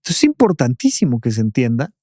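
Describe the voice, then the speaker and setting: A man's voice: mid-range voice, huge pitch swings, fast talker, warm tone, dry recording. A man who speaks as a doctor and expert, explaining patiently and tagging his points with rhetorical questions in a podcast studio.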